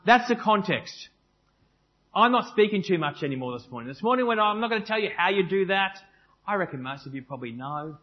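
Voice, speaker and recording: A man leading a church service in a relaxed, chatty way, full of ums. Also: high-pitched. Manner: relaxed, chatty, filler-heavy. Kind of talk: informal church address, hosting guests. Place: church sanctuary. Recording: dull lo-fi church recording.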